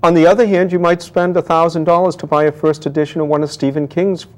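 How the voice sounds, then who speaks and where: A man's voice: on the high side, little pitch movement, fast talker. A man talking as a book dealer in an interview, explaining his trade conversationally with everyday examples.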